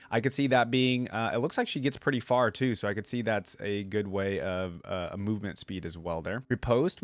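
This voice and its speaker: A man's voice: on the low side, no room sound. A man hosting a reaction video, talking informally and thinking aloud as he comments and reads text out. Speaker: video host reacting to game lore.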